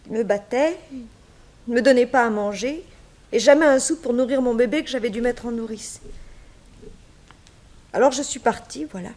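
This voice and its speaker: A woman's voice: a little high, normal speed, long pauses, a little mellow, lightly acted. An actress playing a resigned, wronged young unwed mother and former maid in a 1960s French radio drama, speaking plainly and plaintively.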